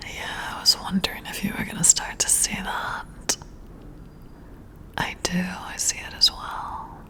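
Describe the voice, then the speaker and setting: A woman whispering up close in an ASMR roleplay as a flirty, intrigued boss, breathy and intimate with drawn-out pauses. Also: sharp tone. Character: flirty, intrigued female boss giving a job interview. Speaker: ASMR performer role-playing a flirty new boss. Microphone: close-up ASMR microphone.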